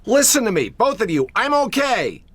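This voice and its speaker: An actor playing a cocky, hard-drinking American blind jazz pianist in a radio drama, wisecracking and slangy. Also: male voice, sits high, big pitch swings, moderate pace, fairly bright tone, husky, no echo, exaggerated voice. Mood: agitated bravado. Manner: wisecracking, bravado-filled, slangy.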